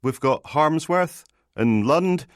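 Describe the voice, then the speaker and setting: Man putting on an eager, higher-voiced young constable. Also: lively intonation, slow pace, smooth, dry recording, clean studio sound. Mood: chatty. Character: eager, sheepish young male police constable on the phone.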